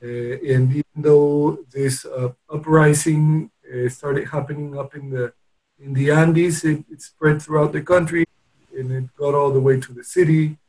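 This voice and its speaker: A man from Peru answers interview questions in a relaxed, conversational way. He searches for his words, pausing and restarting often as he recounts his background. His voice sits a little above the usual male range. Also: a little high, poor audio. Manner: hesitant, with frequent restarts and pauses while recounting. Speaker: interview guest, company founder.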